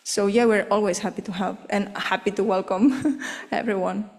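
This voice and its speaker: A woman's voice: mid-range voice, fairly even intonation, moderate pace. Woman presenting in a reverberant hall. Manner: hesitant, many fillers and "like". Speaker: symposium presenter. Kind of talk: conference talk and Q&A answers. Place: conference hall.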